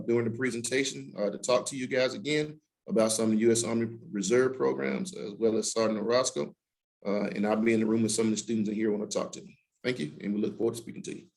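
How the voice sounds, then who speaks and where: Halting man in his fifties, Army recruiter. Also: on the low side, creaky. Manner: halting, heavy with filler sounds. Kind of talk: presentation to students.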